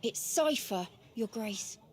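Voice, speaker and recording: A woman's voice: fairly high pitch, lively intonation, slow pace, husky, heavily acted. A woman voicing a timid, humble young servant boy in an audio drama, speaking politely and deferentially. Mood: polite, shy. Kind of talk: video game audio drama acting.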